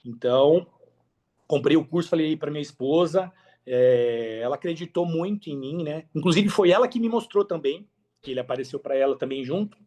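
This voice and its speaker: A man's voice: on the high side, brisk, warm tone. A Brazilian man speaking as a podcast guest, telling his story candidly and conversationally, with slang and frequent tag questions. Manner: talkative, peppers speech with tag questions and slang.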